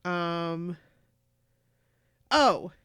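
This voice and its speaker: A woman co-hosting a casual podcast, chatty and animated, who is losing her voice. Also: fairly low pitch, very slow, clear, resonant tone, dry recording, clean studio sound. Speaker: podcast co-host. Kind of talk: casual chat.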